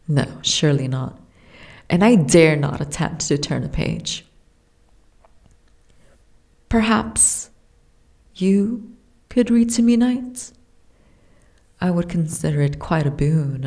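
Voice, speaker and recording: A woman playing a gentle, refined, weary giantess princess in a fantasy ASMR roleplay, with soft, genteel, old-fashioned diction. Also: on the low side, gravelly, exaggerated voice. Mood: delighted, wistful.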